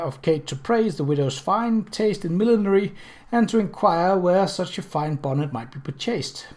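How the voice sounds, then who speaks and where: Man narrating a novel, slightly high voice. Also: darkish tone. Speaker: audiobook narrator. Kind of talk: scripted narration of a period mystery novel.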